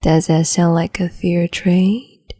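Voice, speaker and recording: A woman playing a cruel, capricious empress in an ASMR roleplay, whispering in a slow, teasing, domineering way. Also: lowish voice, flat delivery, dark tone. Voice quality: breathy whisper.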